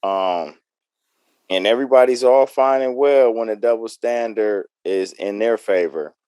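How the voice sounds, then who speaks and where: A man's voice: on the low side, unhurried, dark tone, clean tone, no echo. Blunt American man, dry close sound. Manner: blunt, rhetorical questions. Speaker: podcast co-host. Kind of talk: casual opinionated panel talk.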